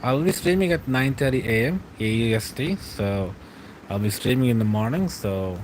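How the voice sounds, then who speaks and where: A young man streaming late at night from home, answering live chat in a relaxed, rambling way, often laughing and trailing off. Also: medium pitch, moderate pace, a little hiss or hum.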